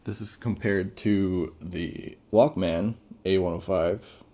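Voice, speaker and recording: A man's voice: sits low, slow, dark tone. A man giving casual, off-the-cuff first impressions as a gadget reviewer, thinking aloud as he goes. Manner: off-the-cuff, thinking aloud while reading out menus.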